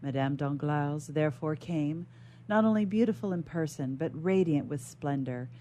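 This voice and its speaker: A woman's voice: sits low, lively intonation, normal speed, warm tone, slight background noise. A woman reading a classic novel aloud as a guest reader on a radio show.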